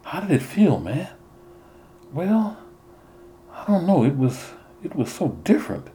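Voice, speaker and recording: A man's voice: a little high, big pitch swings, unhurried, mellow, slight background noise, voice pushed up. A man voicing an eager, impatient teenage boy who is a runner, speaking with a pleading tone. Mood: wondering.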